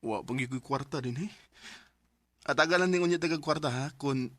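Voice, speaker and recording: A man's voice: a little high, a little fast, a bit bright, no room sound, natural voice. A man with a Filipino Cebuano accent playing a poor young farmer husband, earnest, devoted and anxious, in a Bisaya radio drama. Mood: apologetic.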